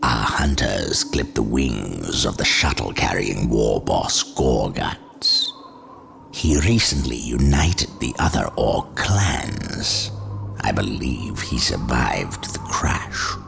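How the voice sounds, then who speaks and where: An actor playing a sinister, insinuating servant of Chaos in a video game, with a sly, measured delivery. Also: male voice, a bass voice, lively intonation, creaky, over music, exaggerated voice. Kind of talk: theatrical video game voice acting.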